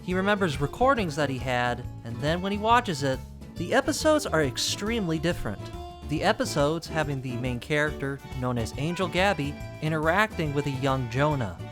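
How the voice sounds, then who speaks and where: A young man narrating a scripted video essay with casual, wry asides and dramatic pauses. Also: on the high side, very animated. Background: music.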